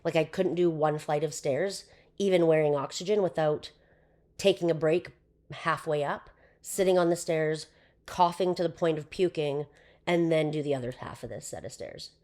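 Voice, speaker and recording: Guest: young woman, lowish hoarse voice, loose chatty delivery. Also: normal speed, mellow. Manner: chatty, with frequent fillers and laughs. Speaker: podcast guest. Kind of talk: casual interview chat.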